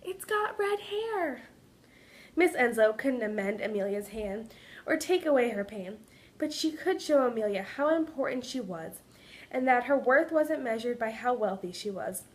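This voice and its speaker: A woman giving a dramatic reading of a children's story, presenting it like a student with expressive, deliberate delivery. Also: on the high side.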